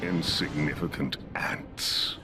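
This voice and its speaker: A man's voice: very deep voice, steady tone, takes it slowly. A man playing a gloating supervillain warlord in a video game, grandiose and theatrical, savoring his words. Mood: pompous, scornful. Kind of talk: animated video game villain acting. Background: loud music.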